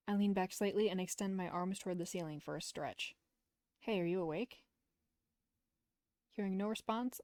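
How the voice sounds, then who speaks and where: A woman with a dark, mellow voice at a middle pitch, streaming a visual novel read-aloud. She narrates and slips into casual asides, recorded close and very dry. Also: dry recording.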